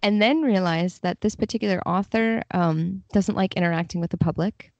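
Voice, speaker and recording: A woman's voice: on the low side, normal speed, darkish tone, dry recording, lo-fi sound. A woman guest talking in a casual podcast interview, chatty and enthusiastic. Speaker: guest, literary translator.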